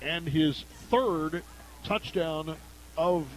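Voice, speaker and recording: High-voiced radio play-by-play man. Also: poor audio. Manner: brisk, conversational game calling. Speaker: play-by-play announcer.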